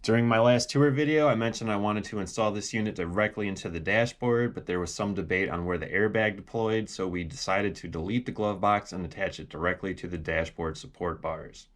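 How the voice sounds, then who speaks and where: A man's voice: lowish voice, brisk, hardly any pauses. A man casually walking through a do-it-yourself project in a plainspoken, explanatory way. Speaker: DIY car vlog host. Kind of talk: casual project walkthrough with voice-over.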